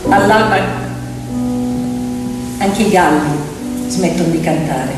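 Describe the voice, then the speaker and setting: A woman's voice: on the low side, takes it slowly. Woman doing dramatic stage narration, short phrases, big echoey hall, mic far away. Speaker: stage storyteller and actress. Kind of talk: theatrical narration. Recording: echoey hall, distant mic, loud music. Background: piano music.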